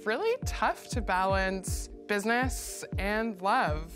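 A woman's voice: medium pitch, expressive, slow pace. A woman in her thirties talking as a motel owner in reality show interviews and chat. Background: music bed.

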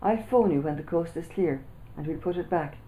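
A woman's voice: fairly low pitch, normal speed, dark tone, slight background noise, lightly acted, poor audio. A woman doing a pleading schoolboy. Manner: pleading, playful. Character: cheeky schoolboy friend, dramatic and pleading.

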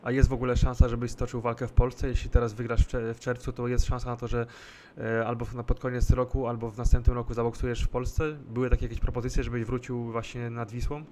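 A man interviews a guest over a video call from a room at home, his voice level and flat in pitch, with a faint background hiss. He asks long questions, pausing and restarting as he builds them. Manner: long winding questions with hesitations. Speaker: sports journalist, interviewer.

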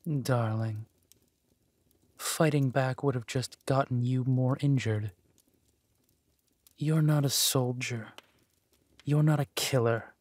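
A man playing a possessive, menacing villain husband who is tender to his spouse, in a slow, soothing, theatrical manner for a roleplay audio drama. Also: medium pitch, steady tone, darkish tone, no echo.

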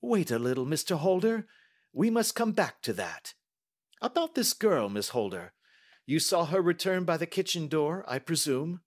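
A man voicing a brisk, astute Victorian gentleman detective with crisp, courteous questioning, in audiobook style. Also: fairly high pitch, sing-song, pitched above the performer's own voice. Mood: probing. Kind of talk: audiobook character acting.

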